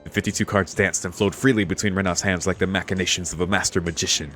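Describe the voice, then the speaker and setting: A man with a low voice talks over a game with music playing behind him. He commentates informally as he plays a visual novel, reading aloud and reacting with amusement in short exclamations. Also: steady tone, fast, fairly bright tone. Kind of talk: casual let's play commentary with read-aloud passages.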